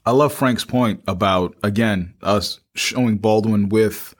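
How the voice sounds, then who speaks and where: Male podcast co-host with a low voice, conversational and emphatic, addressing the audience and doubling phrases. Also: slow pace.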